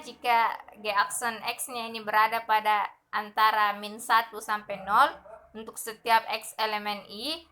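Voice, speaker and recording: A young woman explaining a numerical methods lesson over slides, steady and methodical, often pausing with fillers. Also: on the high side. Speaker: math lecturer.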